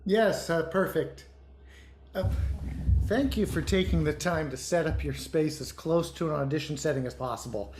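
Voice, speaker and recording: A self-important male theater director, played by a man, running an online audition. He is officious and halting, full of small hesitations. The voice rings in an echoey room over a video call. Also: fairly high pitch, a little mellow, a little hiss or hum, close to an everyday voice. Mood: officious, welcoming. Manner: halting, officious, hems and haws. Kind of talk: naturalistic dialogue in an online one-act play.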